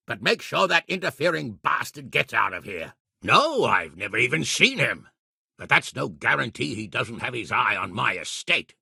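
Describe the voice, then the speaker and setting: An actor playing a gruff, quick-tempered and shrewd middle-aged medieval lord in a video game, with a gravelly, husky voice and a commanding, hearty manner. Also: male voice, mid-range voice, bright, forceful tone, no echo, clean studio sound, heavily acted. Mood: shrewd, wry. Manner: commanding, colourfully cursing, hearty. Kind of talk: video game character acting.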